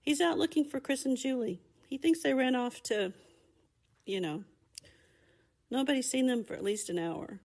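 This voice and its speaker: A woman's voice: high voice, normal speed, vocal fry, long pauses, darkish tone. A woman playing a concerned, reassuring survivor in a motion comic drama.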